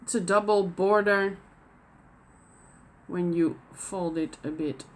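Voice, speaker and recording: A woman from the Netherlands with a Dutch accent, hosting a knitting podcast in casual show-and-tell chat and pausing often with fillers and restarts. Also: on the low side, fairly even intonation. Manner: hesitant, many fillers and restarts.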